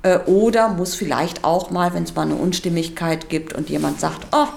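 A woman with a fairly low voice and noticeable creak gives unscripted interview answers. She is halting, searching for words as she explains her moderating job. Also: a little mellow. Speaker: workshop moderator and director.

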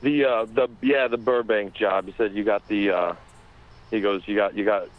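A man voicing a shady, smooth-talking male caller who drawls and hesitates a lot. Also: fairly low pitch, fairly even intonation, moderate pace, lightly acted. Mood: sly. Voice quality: drawling, with many filler sounds. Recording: dull lo-fi phone sound.

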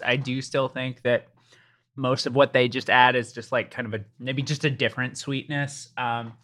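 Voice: medium pitch, sharp tone. A co-host of a snack review show, chatting casually and rambling with sarcastic asides. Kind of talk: casual chat.

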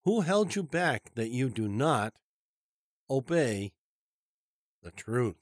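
A congested male pastor giving a Bible study lesson in a formal, deliberate way. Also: mid-range voice, sing-song.

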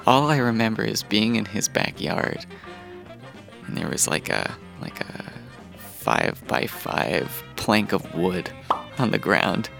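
Lively, gravelly low-voiced man telling stories. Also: takes it slowly, over music. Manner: conversational, chuckling as he recounts childhood memories. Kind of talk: casual personal storytelling.

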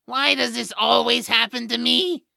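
A man's voice: very high voice, steady tone, measured pace, gravelly, bright, forceful tone, dry recording, studio quality, heavily acted. An actor playing a reluctant, complaining young male hero in a fantasy audio drama. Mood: exasperated, anxious. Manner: complaining, exasperated.

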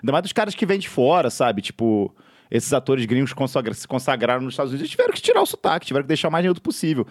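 A man from Minas Gerais in casual podcast banter, animated and laughing often. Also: mid-range voice, very fast. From Minas Gerais, Brazil. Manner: animated, laughs often, does joke voices. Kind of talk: casual chat.

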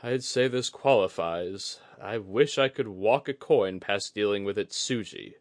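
Earnest male officer acted by a man, worried and formal, lowish pitch, fantasy audiobook dialogue. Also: no room sound, clean studio sound. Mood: weary. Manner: measured, formal phrasing.

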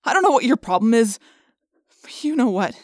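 A woman's voice: fairly high pitch, big pitch swings, brisk, dry recording, clean studio sound. A woman voicing a stern, weary teenage boy who leads his friends, blunt and earnest, in an audiobook. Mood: weary, fed up.

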